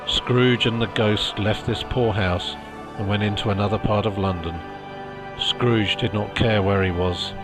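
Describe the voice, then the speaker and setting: A man's voice: lowish voice, fairly even intonation, measured pace. A man reading a storybook as audiobook narrator, in scripted narration. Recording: music behind the voice.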